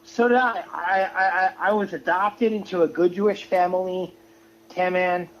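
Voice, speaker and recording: A man giving an opinionated, casual rant on a livestream, halting and often repeating his words. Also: high voice, fairly even intonation. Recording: muffled lo-fi audio with noise.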